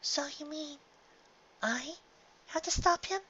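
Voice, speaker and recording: A man voicing a timid, anxious young female pegasus in a storybook reading, with a soft, hesitant, breathy falsetto. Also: slow, lo-fi sound, heavily acted. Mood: fearful pleading. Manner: hesitant, pleading.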